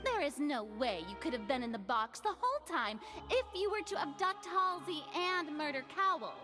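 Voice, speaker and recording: A woman's voice: high-pitched, expressive, moderate pace. An actress playing a pompous, theatrical young woman prosecutor in a video game, taunting and dramatic. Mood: confident, accusing. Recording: music behind the voice.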